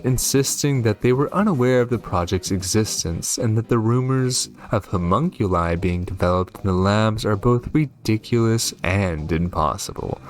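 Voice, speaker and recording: A man with a low voice plays through a game on a recording with faint music behind him. He reads the on-screen text aloud in a dramatic way and drops in short comments as a host. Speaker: gaming video host.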